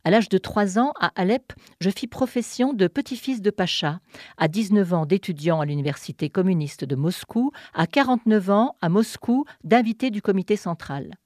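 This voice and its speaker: A woman hosting a radio show, reading a poem aloud in a measured, deliberate way with pauses. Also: medium pitch, darkish tone, no room sound, studio quality. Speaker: radio host. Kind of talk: scripted narration and poetry reading.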